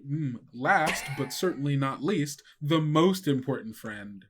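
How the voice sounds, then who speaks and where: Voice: medium pitch, animated, a little slow, noisy background. A game master hosting casually and narrating an improvised story, with a playful, teasing manner. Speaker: game master and podcast host.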